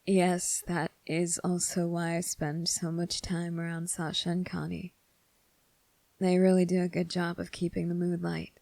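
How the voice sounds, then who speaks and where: Kind young male cadet female performer, ASMR roleplay. Slightly low, dark soft tone, unhurried, polite, hesitant, reassuring. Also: completely flat delivery, gravelly, no echo. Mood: thoughtful, lightly amused. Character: kind, earnest teenage boy military cadet, soft-spoken and caring.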